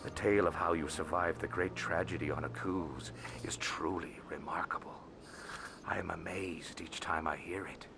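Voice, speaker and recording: An actor voicing a shrewd, courteous alien financial advisor in a video game, speaking formally and deliberately. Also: male voice, sits low, fairly even intonation, creaky, music in the background. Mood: intrigued, flattering. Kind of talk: video game character acting.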